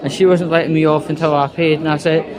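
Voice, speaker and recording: A Scottish man giving an informal vlog monologue while out walking, talking in a halting, hesitant, conversational way. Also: on the high side, flat delivery, crowd noise, rough recording. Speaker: vlogger. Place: out and about on a walk.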